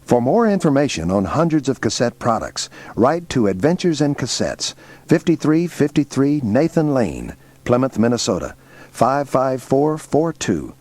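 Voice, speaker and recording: A man's voice: mid-range voice, very animated, moderate pace, darkish tone. An American man reading a scripted mail-order advertisement as announcer.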